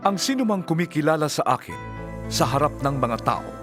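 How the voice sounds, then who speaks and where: Casting: a solemn, measured holy teacher, very animated intonation, prominent music underneath, scripture audio drama. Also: male voice, a little high. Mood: earnest, reassuring.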